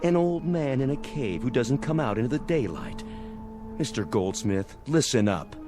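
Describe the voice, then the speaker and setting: Actor as a swaggering, sarcastic army major. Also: male voice, medium pitch, animated, moderate pace, over music, natural voice. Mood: scornful. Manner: sarcastic, theatrical, slangy, barking orders. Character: mocking, domineering military major, cynical and menacing.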